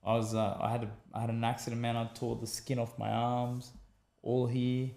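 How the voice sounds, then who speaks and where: Gravelly, mellow-voiced Australian man telling a story. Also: mid-range voice, normal speed, echoey room. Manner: animated storyteller acting out quotes, repeats phrases, says man a lot. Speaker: guest, former boxer. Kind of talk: conversational storytelling.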